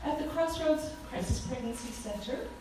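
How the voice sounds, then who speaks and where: A woman in her forties with a loud voice, giving a fervent talk as a guest speaker at a church, with frequent ums. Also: high voice, moderate pace, slight background noise. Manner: earnest, fervent, with many ums. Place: church hall. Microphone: handheld microphone. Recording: echoey hall from far off.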